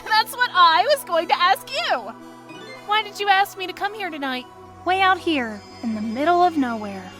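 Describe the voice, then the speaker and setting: A young woman playing an excitable, earnest young detective in a video game fan dub, with expressive, peppy delivery. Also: extremely high pitch, normal speed, faint music behind. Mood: teasing, eager.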